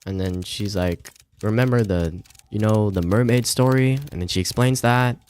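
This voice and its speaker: A man's voice: on the low side, normal speed, a little mellow, clear, resonant tone. A man commentating casually to himself as he streams a visual novel and reads game text aloud.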